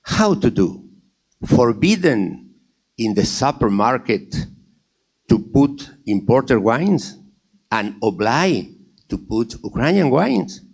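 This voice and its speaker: An Argentine man with a Spanish accent addresses a forum audience, his mid-range voice swinging widely in pitch. He talks at an unhurried pace, pressing his points with rhetorical questions he answers himself and with words said twice over. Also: sing-song, a little mellow, breathy edge. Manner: repeats phrases for emphasis. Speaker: panel speaker at a wine industry forum. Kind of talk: conference panel talk.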